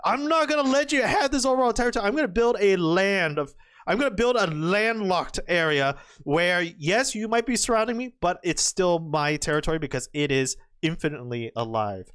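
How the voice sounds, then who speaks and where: A man voicing a stubborn, defiant male go player in a quick, emphatic aside. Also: high voice, a bit bright, smooth, voice pushed up.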